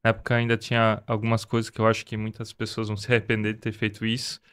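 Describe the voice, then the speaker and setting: Smooth-voiced man, earnest storyteller. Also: on the low side, completely flat delivery, quick pace, a bit bright. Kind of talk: casual conversation.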